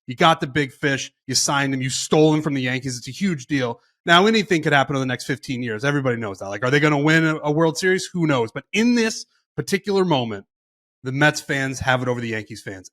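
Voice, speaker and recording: A man co-hosting a podcast, wry and reflective as he mulls things over. His voice sits a little higher than most men's. The talk is loose and casual. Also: a bit bright. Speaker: podcast co-host. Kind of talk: casual sports chat.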